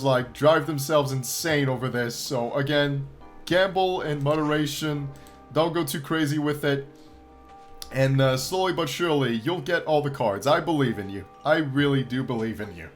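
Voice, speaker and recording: A man hosting a gaming channel, hoarse and tired, talking his viewers through a game feature in an upbeat, encouraging way. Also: a little high. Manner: upbeat and encouraging, with frequent laughs and throat clearing. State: tired after a long stream. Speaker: YouTube gaming channel host. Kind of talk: casual gameplay commentary to viewers.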